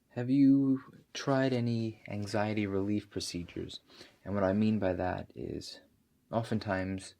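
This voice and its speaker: A man playing a doctor in an ASMR roleplay, asking questions in a soft-spoken, unhurried, hesitant and reassuring way. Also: deep voice, vocal fry. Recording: steady audible background noise.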